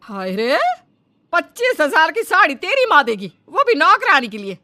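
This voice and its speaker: Woman acting middle-aged mother-in-law, sarcastic, scolding, high pitch, sharp bright tone, comic cartoon drama. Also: wildly swooping pitch, moderate pace, faint music behind, full character voice. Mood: indignant. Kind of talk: acted comic cartoon story dialogue.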